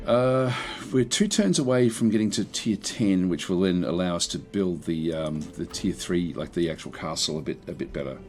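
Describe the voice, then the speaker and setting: A man with a croaky voice casually talking through a strategy game, thinking aloud with many fillers and restarts. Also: low-pitched, moderate pace, hardly any pauses, soft background music. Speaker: gameplay commentator.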